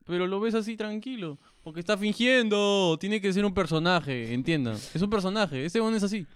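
A young man co-hosting a podcast in casual banter, teasing his partner, cursing and firing off quick jokes. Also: sits high, expressive, clean tone, no echo. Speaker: podcast co-host.

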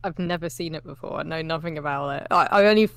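A woman's voice: sits low, fast, no echo. A woman co-hosting a film podcast in casual, conversational commentary. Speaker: podcast co-host.

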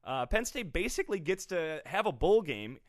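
A man with a bright, fairly high voice reads sports headlines on a comedy podcast, breaking off to joke and laugh. His pitch swings widely as he talks, and the sound is close and dry. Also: big pitch swings, moderate pace, dry recording. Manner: reads headlines then jokes, laughs often. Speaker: podcast co-host reading sports news. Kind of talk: casual comedic chat.

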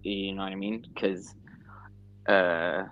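Low-voiced American podcast host. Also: male voice, steady tone, takes it slowly, muffled recording. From United States. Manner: rambling, filler-heavy, uses "like" and "you know". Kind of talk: casual interview chat.

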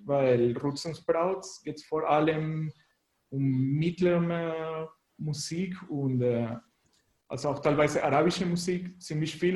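A man's voice: a little high, darkish tone, roomy sound, low-quality recording. A man from Venezuela with a Venezuelan Spanish accent, answering casually as an interview guest and searching for words in a language that is not his native one. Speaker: interview guest, musician and graphic designer.

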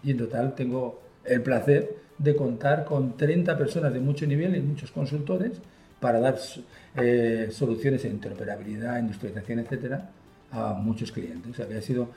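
Man, Spain Spanish accent, enthusiastic guest, fluent with fillers, mid pitch, echoey office room. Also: dark tone. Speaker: guest, software company partner. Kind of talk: conversational interview. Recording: roomy echo.

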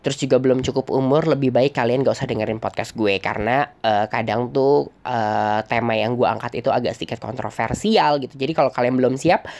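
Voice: medium pitch, brisk, gravelly, a little mellow. A young podcast host, nasal and congested from a cold. The delivery is loose and chatty and wanders from point to point, full of hesitations. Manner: rambling, chatty, many hesitations. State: stuffy nose from a cold. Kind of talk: casual solo storytelling.

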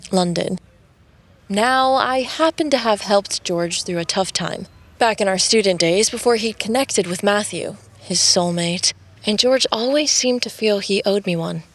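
An actress playing a quiet, geeky designer in an audio drama, reflective and wry in a conversational way. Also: female voice, mid-range voice, lightly acted. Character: quiet, geeky woman designer narrating her story. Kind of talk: first-person audio drama narration and dialogue. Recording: music under the voice.

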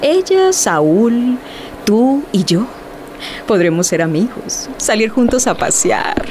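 High-voiced jealous young wife played by an actress. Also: female voice, very animated, slow, darkish tone, slight background noise. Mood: smug, placating. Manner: emphatic, reproachful. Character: jealous, proud young wife, mayor's daughter.

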